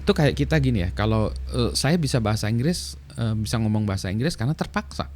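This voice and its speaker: A man's voice: fairly low pitch, fast, slight background noise. A middle-aged man with an Indonesian accent, talking casually and explaining at an easy pace, with frequent fillers. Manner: relaxed, many fillers and tag "ya". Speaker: vlogger sharing work experience.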